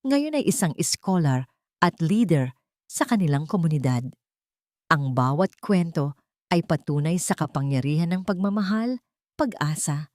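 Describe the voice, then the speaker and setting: A woman storyteller with a fairly low voice narrates from a script. Her delivery is earnest and sentimental, shaped like dramatic romance narration. The sound is dry, with little room to it. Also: warm tone, dry recording.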